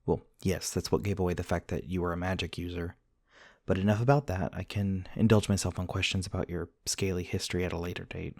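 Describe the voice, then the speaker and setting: A man's voice: sits low, steady tone, fast, a little mellow, dry recording. A man playing an earnest, formal, slightly awkward research scientist in a one-sided ASMR roleplay, speaking politely in wordy, precise sentences. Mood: curious, friendly.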